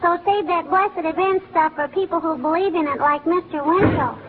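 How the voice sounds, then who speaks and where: A woman's voice: very high voice, normal speed, phone-quality sound. A woman playing a precocious little girl in 1940s radio comedy, lecturing in precise, pert fashion. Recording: thin, noisy 1940s radio recording.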